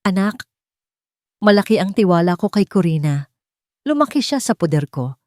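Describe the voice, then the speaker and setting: A woman with a Filipino accent voicing a concerned, perceptive elderly mother, gentle and earnest, in an audio story. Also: mid-range voice, very animated, normal speed, dry recording, studio quality, natural voice.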